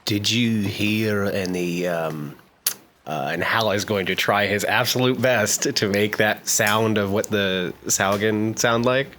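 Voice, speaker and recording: Deep, slow male player. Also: noisy background. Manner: hesitant, fills gaps with um.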